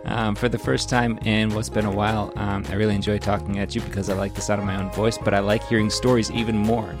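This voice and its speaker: Chatty podcast host over guitar music. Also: male voice, on the low side, flat delivery, fast. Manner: chatty and playful, with self-deprecating asides. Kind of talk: casual podcast interview. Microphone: remote online recording.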